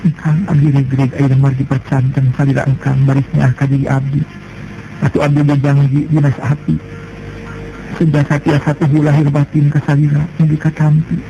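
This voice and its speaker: A male storyteller voicing a young wife who is tender, anxious and devoted, with a soft, pleading manner. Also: fairly high pitch, completely flat delivery, normal speed, creaky, voice pushed up. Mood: sorrowful pleading. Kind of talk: Sundanese storytelling. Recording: dull lo-fi sound with music behind.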